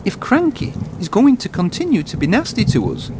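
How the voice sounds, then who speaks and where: A man's voice: high voice, huge pitch swings, brisk, noisy background, pitched above the performer's own voice. A man reading a children's storybook, voicing an earnest, indignant little male steam engine.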